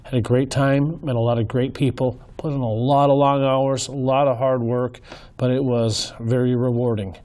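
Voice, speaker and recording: A man hosting a casual talk show, hoarse, speaking in an earnest, folksy way with many fillers and restarts. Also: mid-range voice, flat delivery, moderate pace, a little mellow. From Minnesota, US. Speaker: host. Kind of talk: casual commentary.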